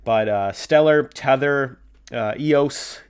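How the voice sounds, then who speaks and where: A man's voice: mid-range voice, takes it slowly, smooth. A man hosting a casual cryptocurrency video, sounding congested from a cold and talking loosely with lots of fillers. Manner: filler-heavy, loose, reads articles aloud. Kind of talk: casual market commentary to camera.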